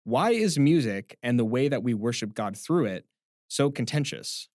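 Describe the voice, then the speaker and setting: A man's voice: mid-range voice, expressive, darkish tone, dry recording, clean studio sound. A man hosting a faith podcast, reading a scripted intro, then asking questions in a friendly, upbeat way. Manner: friendly, upbeat, quick to laugh along. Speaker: podcast host.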